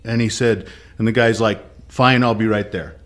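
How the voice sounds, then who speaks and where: A man's voice: lowish voice, steady tone, normal speed, a bit bright. A man on a casual podcast telling a long joke and acting out its quotes. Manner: storytelling with quoted voices.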